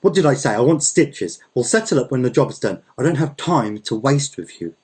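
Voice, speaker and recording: A man voicing a domineering, furious male landowner who hurls insults in a storybook reading, his voice husky. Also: mid-range voice, lively intonation, normal speed, a little mellow, close to an everyday voice. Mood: furious, bossy.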